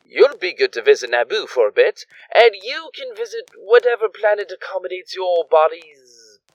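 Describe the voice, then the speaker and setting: A man's voice: high-pitched, very animated, moderate pace, no echo, lo-fi sound. A man playing a smug, flowery-spoken kidnapper gang leader in an audio drama, pompous and theatrical. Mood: gloating. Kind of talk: audio drama villain acting.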